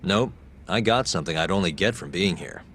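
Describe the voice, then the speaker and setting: An actor in an English game dub playing a composed, persistent young private detective with a wry, confident manner. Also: male voice, sits low, lively intonation, fairly quick, a bit bright, a little hiss or hum. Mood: focused.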